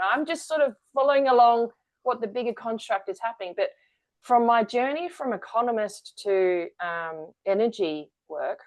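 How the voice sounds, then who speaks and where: A woman, a guest telling her story candidly in an interview. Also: a little high. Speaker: guest, energy-work founder. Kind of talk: conversational interview.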